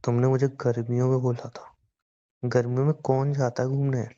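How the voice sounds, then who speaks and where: A man's voice: mid-range voice, flat delivery, normal speed, dark tone. A young man joking and teasing his girlfriend in a casual phone call. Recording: dull lo-fi call recording.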